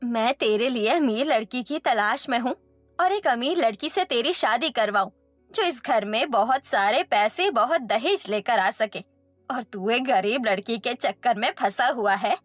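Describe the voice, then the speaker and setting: A greedy, cruel cartoon in-law played by a woman, high in pitch and full of scorn. She taunts and bullies, recorded close and dry with music behind her. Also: animated, a little slow, dry recording. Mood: scolding. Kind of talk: Hindi cartoon story dialogue.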